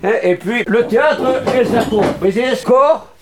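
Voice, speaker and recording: A man with a Southern French accent from Montpellier, talking about theatre to a group in a lively, theatrical way with booming bursts. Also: moderate pace, reverberant, background noise. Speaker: theatre teacher.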